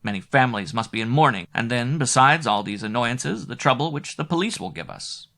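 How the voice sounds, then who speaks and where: A man's voice: medium pitch, animated, a little fast, creaky, bright, forceful tone, voice pushed up. A man voicing a gloomy, uneasy musician friend in a storybook reading. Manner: uneasy, pessimistic. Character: gloomy male bass player, a worried companion.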